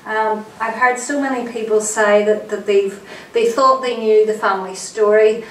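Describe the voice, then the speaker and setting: A woman giving a lecture to an audience, sounding nasal from a cold, chatty and friendly with plenty of ums. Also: medium pitch. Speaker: archivist giving a genealogy lecture. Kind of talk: public lecture. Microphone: distant room mic. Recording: echoey lecture room recorded from a distance.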